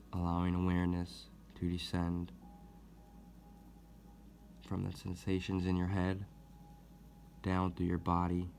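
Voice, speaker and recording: A man leading a guided meditation as host, speaking gently and deliberately with long pauses. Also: low voice, vocal fry, slight background noise. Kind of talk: guided meditation, soothing instruction.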